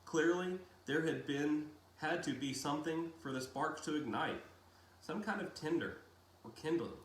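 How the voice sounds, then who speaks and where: Slow-paced man reading a story, roomy echo. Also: fairly high pitch, lively intonation. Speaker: reader of a children's novel read-aloud. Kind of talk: audiobook-style narration with brief casual asides. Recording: roomy echo, faint background noise.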